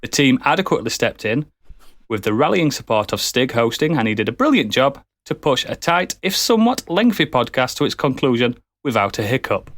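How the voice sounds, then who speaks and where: Animated man, mock-formal host. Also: medium pitch. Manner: mock-formal, theatrical reading. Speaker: podcast host. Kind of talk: casual podcast banter.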